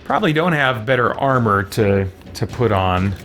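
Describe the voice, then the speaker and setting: A man's voice: medium pitch, expressive, moderate pace, fairly bright tone. A man hosting a gaming video, giving casual let's play commentary and thinking aloud as he goes. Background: game music.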